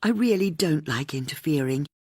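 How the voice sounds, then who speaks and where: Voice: mid-range voice, animated, moderate pace, a little mellow, husky, dry recording, clean studio sound, pitched above the performer's own voice. An audiobook narrator voicing a timid, self-effacing woman with a hesitant, apologetic manner.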